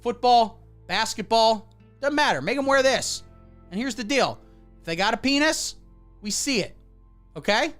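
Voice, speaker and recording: A man on a comedy livestream rants with mock outrage, his pitch leaping all over as he goes. Faint music plays under his voice. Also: expressive, moderate pace, pauses often. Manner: loud mock-outraged ranting, then muttering asides while browsing. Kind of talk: satirical livestream rant.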